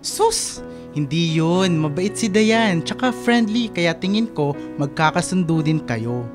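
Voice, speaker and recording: A man's voice: sits high, animated, a little slow, music in the background. A man playing a playful, teasing young boyfriend and loyal buddy in a radio drama, joking and coaxing expressively. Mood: persuasive.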